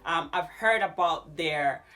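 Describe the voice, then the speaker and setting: A woman in her thirties with a Jamaican accent, chatting casually to camera in an animated way as she answers questions. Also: sits low, slow. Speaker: YouTube vlogger. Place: small furnished room at home.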